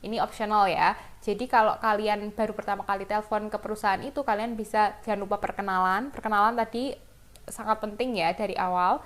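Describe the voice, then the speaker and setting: A woman's voice: medium pitch, fairly even intonation, fairly quick. A woman with an Indonesian accent teaching an English lesson informally, explaining example phrases and talking directly to students.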